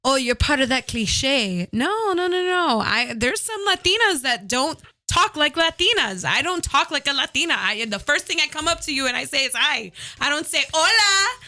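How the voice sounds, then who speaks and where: A woman guest in casual podcast chat, a little tipsy, animated and swearing, laughing often. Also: sits high, normal speed, hardly any pauses, no echo. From United States.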